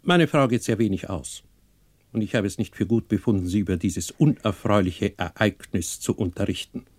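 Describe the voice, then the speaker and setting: An actor playing a haughty, wealthy older shipyard owner in a 1960s German radio play, formal, imperious and dismissive. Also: male voice, lowish voice, moderate pace, a little mellow, lightly acted. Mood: controlled.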